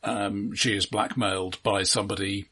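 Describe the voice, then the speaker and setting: A man's voice: on the low side, fairly even intonation, moderate pace, bright, forceful tone, breathy edge. A man co-hosting a film podcast, talking analytically and casually in careful, precise wording with a hesitant delivery. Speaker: film discussion podcast co-host.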